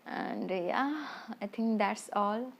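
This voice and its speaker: A woman's voice: mid-range voice, takes it slowly, creaky. A woman who rambles through a casual product review, full of restarts and fillers, a little self-conscious. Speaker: beauty vlogger.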